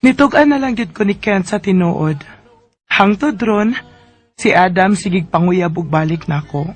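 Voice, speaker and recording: An actress playing an emotional, reproachful young jilted bride with a Filipino accent in a radio drama, with music underneath. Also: female voice, medium pitch, unhurried, lightly acted. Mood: subdued, reflective.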